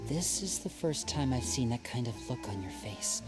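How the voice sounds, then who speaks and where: An actor playing a confident, charming young stage magician who questions his younger brother in a smooth, probing, gently teasing way. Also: medium pitch, animated, normal speed, natural voice. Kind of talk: video game voice acting. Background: game music.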